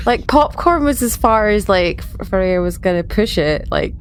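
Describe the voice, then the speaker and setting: A woman's voice: medium pitch, animated, moderate pace, a little hiss or hum. A woman chatting casually as a player in a tabletop roleplaying game.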